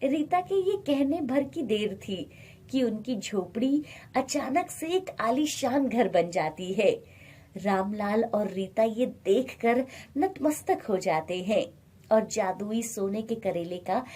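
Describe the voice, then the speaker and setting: A woman's voice: fairly high pitch, expressive, takes it slowly, mellow, slightly rough. A woman storyteller reading a scripted moral bedtime story.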